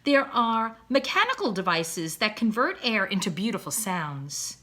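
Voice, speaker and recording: A woman's voice: medium pitch, sing-song, unhurried, pushed and bright, heavily acted. A woman voicing a wordy father swan from a children's storybook, kindly and encouraging, speaking in a formal, emphatic, oratorical way. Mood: solemn, promising. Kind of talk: children's storybook read-aloud.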